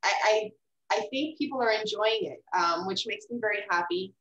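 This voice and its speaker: A woman talks through her writing in a loose, halting way, stopping on fillers and repeating words as she thinks. Her voice sits at a middling pitch. The room around her sounds echoey. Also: a bit bright, lo-fi sound. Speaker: guest author. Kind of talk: casual interview chat.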